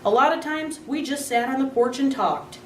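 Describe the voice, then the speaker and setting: A woman's voice: sits high, moderate pace, echoey room, recorded from a distance, slight background noise, voice pushed up, close to an everyday voice. A woman voicing an easygoing father who tells stories in a children's storybook reading. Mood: fond.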